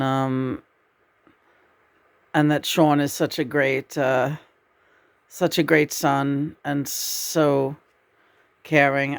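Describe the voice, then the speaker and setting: A woman in her sixties talks unhurriedly during a spiritual channeling session. Her voice has a gravelly edge, and she speaks softly, pausing on fillers and restarting phrases. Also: rumbling low, dry recording. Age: 60s. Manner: slow, soft-spoken, with hesitations and fillers.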